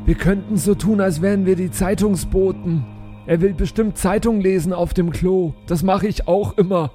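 A man with a high, mellow voice chats in character as a fantasy game player. His talk is improvised and conversational, with faint music behind it. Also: normal speed. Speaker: role-playing game player.

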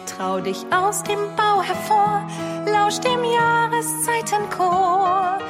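A woman performing a gentle, dreamy narrator of mindfulness verse in a children's audio play. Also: extremely high pitch, expressive, takes it slowly, full character voice. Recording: produced audio with loud music. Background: loud instrumental music.

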